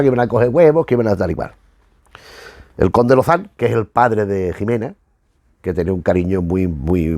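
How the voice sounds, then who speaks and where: A man's voice: mid-range voice, a little mellow, no echo. A man from giving an informal, digressive commentary from notes as host of a retro review show, often trailing off into vague tags.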